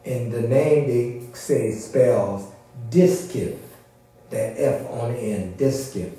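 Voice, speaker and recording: A man explaining a story informally to a congregation, in a conversational and unhurried manner. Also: mid-range voice, warm tone, faint music behind. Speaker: church presenter introducing and recapping an audio drama. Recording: very echoey room, far from mic.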